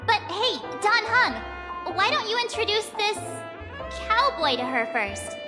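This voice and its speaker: A woman's voice: very high voice, very animated, measured pace, music in the background, exaggerated voice. A woman voicing a young, cheerful, eager girl companion in a video game. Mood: casual, teasing.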